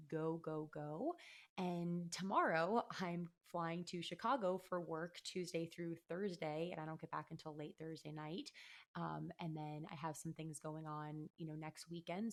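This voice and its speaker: Solo podcast host, female, American. Low register, a little hoarse, conversational and candid. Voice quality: slightly hoarse. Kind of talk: casual solo podcast talk.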